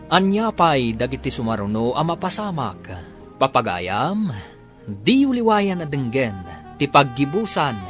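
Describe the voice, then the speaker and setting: A man formally announcing a radio drama series in a measured, declamatory way. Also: mid-range voice, big pitch swings. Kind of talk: formal scripted series introduction. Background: music.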